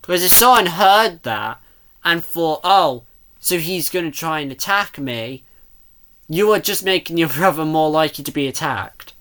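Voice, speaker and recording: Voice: medium pitch, expressive, a little slow, a bit bright. A person giving casual, rambling reaction commentary, thinking aloud and speculating as the host.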